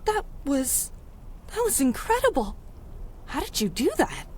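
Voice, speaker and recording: A woman's voice: sits high, sing-song, unhurried, a little mellow, slight background noise. A woman playing a plucky, streetwise city dweller with wry, colloquial speech in a 1930s-style radio drama. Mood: amazed, urgent. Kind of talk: 1930s radio adventure serial acting.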